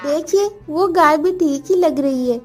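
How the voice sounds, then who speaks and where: A woman voicing a childlike, tender-hearted young village wife in an animated bedtime story, with a girlish, pleading way of talking. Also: high-pitched, a little slow. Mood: curious, tender.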